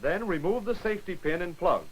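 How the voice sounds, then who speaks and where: A man giving brisk, wry scripted narration for a 1940s military training film, on an old film soundtrack. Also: high voice, animated, breathy edge, rough recording.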